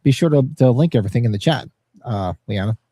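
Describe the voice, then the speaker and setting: A man's voice: mid-range voice, lively intonation, quick pace, mellow, breathy edge, no room sound. A congested man with a nasal, sniffly voice hosting a livestream podcast in casual, informal banter. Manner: informal, occasional swearing. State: congested and sniffly from allergies, slightly sore throat. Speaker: podcast host.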